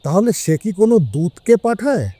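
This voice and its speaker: A man's voice: high-pitched, animated, normal speed, warm tone, no room sound. A man playing a doubtful, questioning companion of a detective in an audio story. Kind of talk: Bengali audio story acting.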